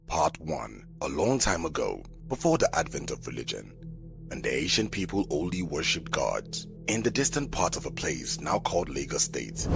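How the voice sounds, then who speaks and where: A man with a very low, gravelly voice tells a scripted tale as a dramatic narrator. His delivery is measured and rises and falls widely, with music clearly playing behind him.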